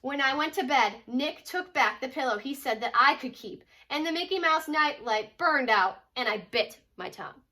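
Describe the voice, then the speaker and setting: A woman's voice: sits high, animated, moderate pace, few pauses, sharp tone. A woman reading a children's picture book aloud as a teacher would, expressively and with dramatic emphasis on key words.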